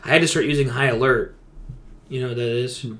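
A man's voice: mid-range voice, fairly even intonation, fairly quick, a bit bright. A man chatting casually as a gaming podcast co-host, rambling and venting emphatically in slangy language.

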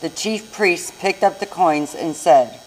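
Low-voiced American woman reading scripture, humming recording. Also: expressive, unhurried, darkish tone, rough recording. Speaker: church reader, narrator of a Passion reading. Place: church service. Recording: live church recording with clear hum.

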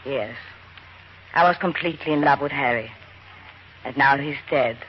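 An actress playing a grieving young Viennese stage actress, who speaks quietly and guardedly. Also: female voice, rumbling low, moderate pace, highs cut off. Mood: sorrowful. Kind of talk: 1940s radio acting. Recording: hissy old radio broadcast recording.

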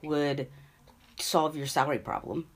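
A young woman co-hosting a casual podcast, her voice raspy from a cold, talking frankly and laughing often. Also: low-pitched, fairly even intonation, unhurried. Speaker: podcast co-host. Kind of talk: casual chat.

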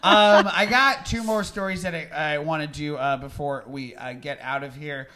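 A man's voice: on the high side, moderate pace, sharp tone. A man hosting a casual comedy podcast, rambling through stories and laughing at his own jokes. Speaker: podcast host.

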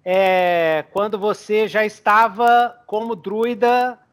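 Game master, young man, high voice, rambling and improvising with chuckles. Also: fairly even intonation, takes it slowly, noisy background. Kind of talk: narrating a tabletop game.

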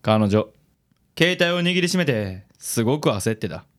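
A man's voice: mid-range voice, very animated, a little slow. Self-admiring male investigator acted by a man, medium register, suave and theatrical, for radio drama. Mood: earnest, reporting. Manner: smooth, theatrical self-assurance.

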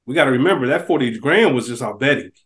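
Fast-talking man on a podcast. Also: medium pitch, animated, fairly bright tone. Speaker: podcast co-host. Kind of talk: casual TV recap chat.

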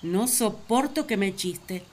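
A woman's voice: mid-range voice, lively intonation, slow pace, a little mellow. A woman playing Eve, an earnest, talkative young first woman, telling her story in a radio play. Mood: self-assured. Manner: earnest, wistful, storytelling to the listener.

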